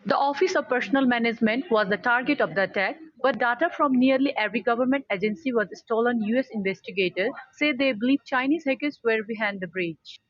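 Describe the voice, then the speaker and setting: A woman narrates a written passage quickly in a slightly high voice. The recording sounds a little dull. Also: no echo. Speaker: exam passage reader. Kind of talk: scripted read-aloud narration.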